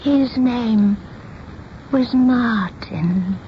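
A shy, wistful seamstress who lives in daydreams, in a higher-pitched woman's voice. She speaks slowly, stammering and hesitating like a child unsure of herself. It is radio drama on a dull, noisy recording. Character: timid, dreamy reclusive old-maid seamstress lost in fantasy.

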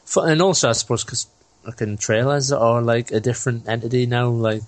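A man's voice: mid-range voice, a little fast, no room sound. Laid-back young man, dry mic. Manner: laid-back, swears, laughs often. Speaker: podcast co-host. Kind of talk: casual chat.

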